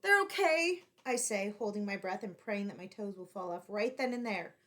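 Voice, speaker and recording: A woman reading a picture book aloud to children in an expressive, deliberately phrased storytelling style, like a teacher. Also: mid-range voice.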